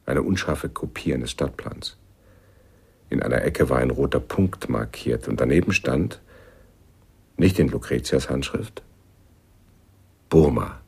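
An actor in a radio play playing a disillusioned, melancholy male jazz pianist with a very deep, gravelly voice. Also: animated, a little slow, pauses often, darkish tone, heavily acted. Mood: brooding. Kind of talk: German radio play acting.

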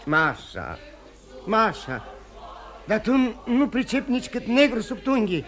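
An actor playing a dissolute, self-loathing husband in radio theatre, halting and repeating words theatrically. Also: male voice, high-pitched, big pitch swings, fairly bright tone. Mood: tipsy, tender. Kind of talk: mid-20th-century radio theatre acting. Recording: old radio drama, music behind.

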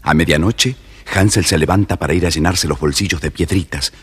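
A man narrating a children's fairy tale in an expressive, scripted style. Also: low voice, brisk, slightly rough. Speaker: storybook narrator. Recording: noisy old storybook recording.

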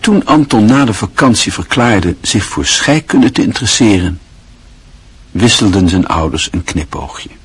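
A male narrator with a very deep voice and a strongly husky, rough texture. He reads in a measured, wistful literary style for a radio play. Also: animated.

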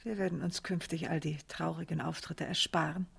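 An actress playing a proud marquise who hides her hurt, genteel and measured in a 1970s German radio drama. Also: sits low, fairly even intonation, vocal fry, breathy edge, exaggerated voice. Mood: wistful composure. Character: proud, wounded French marquise hiding hurt behind composure.

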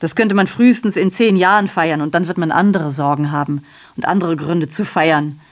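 A woman's voice: fairly low pitch, moderate pace, lightly acted. An actress playing a sardonic, aggrieved female messenger in a radio drama, delivering a digressive monologue full of rhetorical questions and self-corrections. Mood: muddled, sardonic.

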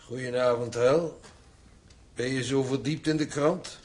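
A man acting in a radio drama as a shrewd, sober older father-in-law, husky, with a measured, knowing and kindly manner. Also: mid-range voice, fairly even intonation, noisy background, close to an everyday voice. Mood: calm, knowing.

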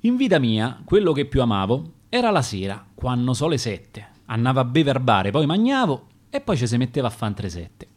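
A man's voice: mid-range voice, wildly swooping pitch, moderate pace, hardly any pauses. A middle-aged man with a Roman accent, reading his own rhymed dialect poetry in a rhythmic, declaiming way.

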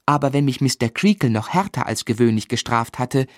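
An actor playing a grown man who fondly recalls his schooldays, narrating a literary radio drama in a measured, reflective way. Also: medium pitch, expressive, no room sound, studio quality, close to an everyday voice.

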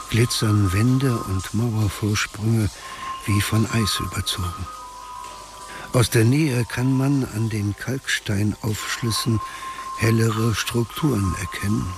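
A man's voice: fairly low pitch, heavy background noise. A man narrating a radio drama in a grave, detached, documentary-like manner.

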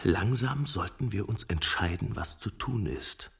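An anxious man in a radio drama, argumentative and dry. His voice is low, gravelly and very husky. He speaks in short, hushed, urgent phrases. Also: animated, measured pace, full character voice. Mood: frightened, hushed. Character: hiker, a man, anxious and bickering, dryly argumentative. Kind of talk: German-language radio play dialogue.

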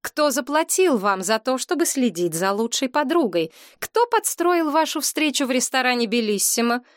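A woman's voice: on the high side, moderate pace, no echo, studio quality, voice pushed up, natural voice. Woman doing a fierce, accusing young woman. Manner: heated, hissing through teeth when quiet.

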